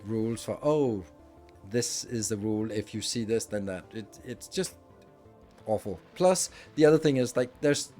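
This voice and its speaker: A man's voice: fairly low pitch, warm tone. A middle-aged man from Denmark with a Danish accent, chatting casually and self-deprecatingly with viewers during a game stream, rambling with restarts. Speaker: gaming livestream host. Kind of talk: casual chat with viewers while gaming.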